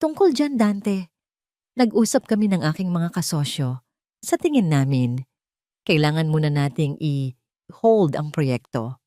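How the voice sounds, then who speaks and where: A woman's voice: low-pitched, lively intonation, a little slow, pauses often, dark tone, no echo, studio quality. A woman voicing a formal, evasive male investor in an audio drama, polite and noncommittal.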